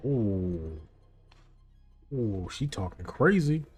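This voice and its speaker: A man's voice: lowish voice, very animated, very slow. A man giving casual, slangy livestream reaction commentary, often repeating short phrases. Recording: game music behind the voice.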